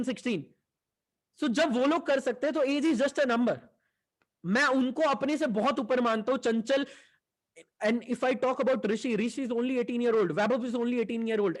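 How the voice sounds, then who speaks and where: A young man, giving an enthusiastic sales pitch for an online business and mixing Hindi with English. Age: 20s. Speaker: online business team leader.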